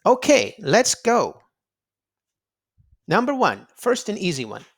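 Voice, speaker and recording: Animated, higher-pitched male children's narrator. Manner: dramatic, emphatic, with drawn-out words and pauses. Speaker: children's read-aloud narrator and quiz host. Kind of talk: expressive storybook read-aloud for children.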